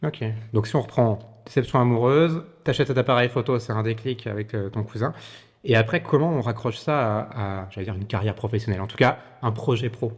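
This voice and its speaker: A man's voice: medium pitch, brisk, long reverb tail. A man hosting a podcast interview, talking casually with lots of fillers. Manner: filler-heavy, informal. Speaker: podcast host.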